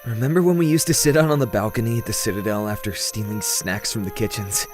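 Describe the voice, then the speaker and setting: A man's voice: fairly low pitch, quick pace, faint music behind, close to an everyday voice. Man playing a young, weary, self-doubting prince. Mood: weary recounting. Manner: hesitant, casual, brooding. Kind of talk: audio drama acting and first-person narration.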